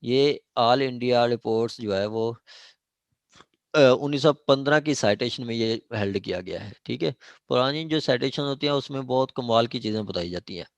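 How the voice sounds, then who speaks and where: A man's voice: mid-range voice, moderate pace, no room sound. Pakistani man lecturing, in a dry recording. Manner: explanatory and repetitive, with frequent check phrases; switches between Urdu and English legal terms. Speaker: law lecturer at a judicial academy. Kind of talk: informal law lecture.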